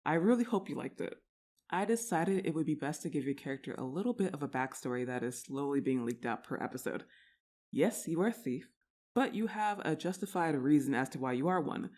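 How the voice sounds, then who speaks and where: A woman's voice: sits low, expressive, a little fast, darkish tone. A woman talks informally to her audience as the creator of audio roleplays. Her delivery is casual and rambling. She comes across as self-deprecating and unscripted. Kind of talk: casual chat to listeners.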